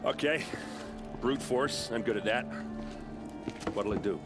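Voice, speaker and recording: Brash warrior man played by an actor, loud music. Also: medium pitch, a little slow, close to an everyday voice. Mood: uneasy. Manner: blunt, brash. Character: brash, hot-headed warrior man, blunt and loyal. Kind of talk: video game acting.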